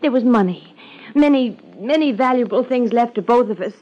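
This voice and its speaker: An actress playing a frightened, evasive young heiress in a 1950s radio drama, hesitant and pleading. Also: female voice, on the high side, animated, normal speed. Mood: anguished. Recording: dull 1950s radio recording.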